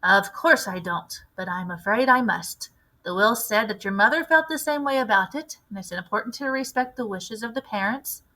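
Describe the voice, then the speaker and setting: Woman acting old storybook grandmother, matter-of-fact, grave, measured, crisp bright tone. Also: medium pitch, lightly acted, poor audio. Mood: firm, regretful. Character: elderly Norwegian grandmother, firm, matter-of-fact, grimly knowing. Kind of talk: storybook read-aloud character voice.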